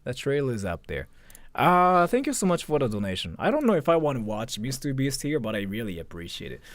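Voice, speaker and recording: A young male streamer with very lively, swinging intonation gives off-the-cuff reactions. He speaks in loose slang, often doubling back on his words, and the sound is close and dry. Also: medium pitch, brisk, no room sound. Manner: slangy, hesitant, repeats himself with frequent "like". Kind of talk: casual live reaction commentary.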